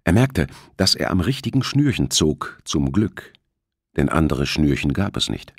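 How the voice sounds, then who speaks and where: A man's voice: a bass voice, very animated, unhurried, slightly rough, dry recording. A man reading a thriller audiobook as its narrator, in scripted storytelling style.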